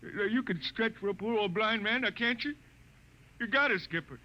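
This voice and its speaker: A man acting an old blind spaceman who pleads to go home, with a folksy drawl and rough grammar. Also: high voice, normal speed, sharp tone, muffled recording. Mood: pleading. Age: older. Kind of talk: 1950s radio drama acting. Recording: noisy old radio broadcast.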